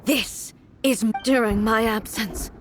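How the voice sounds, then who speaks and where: A woman playing a regal, resolute warrior deity in a dramatic anime-style video game, speaking formally and gravely. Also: fairly high pitch, fairly even intonation, a little slow, soft background music, close to an everyday voice.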